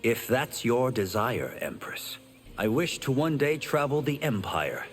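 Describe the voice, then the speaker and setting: A man's voice: mid-range voice, big pitch swings, unhurried, faint music behind. A man playing a courteous, respectful fighter in a fantasy video game, speaking politely and earnestly. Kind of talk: video game dramatic acting. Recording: long reverb, loud noise and clicks.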